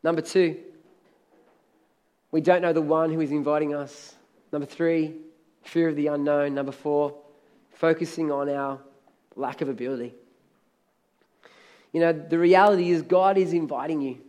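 Hoarse, high-voiced preaching man. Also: normal speed, pauses often. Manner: energetic, emphatic preaching with repeated phrases. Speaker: pastor. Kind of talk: sermon.

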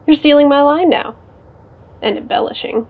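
Older sister, wry and supportive, higher voice, thin phone-call sound, natural audio drama delivery. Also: female voice, normal speed, gravelly. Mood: teasing. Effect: telephone filter. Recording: thin, band-limited phone line.

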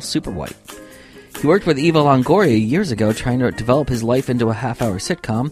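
A man's voice: mid-range voice, darkish tone. A man hosting an interview podcast, moving between scripted introduction and casual questions.

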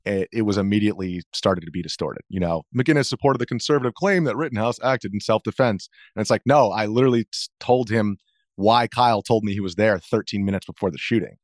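An American man speaking as an interview guest, explaining things in a measured, conversational way. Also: on the low side, no room sound, clean studio sound. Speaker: interview guest, video journalist.

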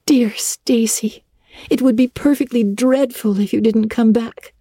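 A woman's voice: a little high, fairly even intonation, unhurried, mellow, husky, dry recording, studio quality, voice pushed up. A woman voicing an eager, talkative, dreamy young girl in a dramatic storybook reading, gushing with breathless earnestness. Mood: breathless relief.

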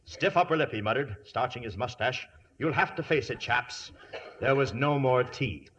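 An actor playing a glib English butler who poses as a pompous earl in a 1950s radio comedy, with theatrical, mock-aristocratic delivery. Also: male voice, medium pitch, normal speed, a bit bright, highs cut off, natural voice. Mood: boastful. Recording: noisy early 1950s radio broadcast.

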